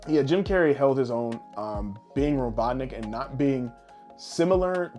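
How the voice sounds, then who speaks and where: A man's voice: mid-range voice, lively intonation, a little slow, darkish tone. Casual, blunt man chatting over music. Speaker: podcast co-host. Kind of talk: casual podcast chat about films.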